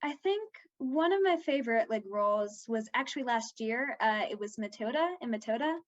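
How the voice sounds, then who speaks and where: A girl of fourteen with a fairly high voice talks casually in an interview. She is chatty and drops "like" into her sentences often. The recording sounds somewhat dull and lo-fi. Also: animated, moderate pace. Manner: chatty, peppers talk with "like". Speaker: young actress being interviewed.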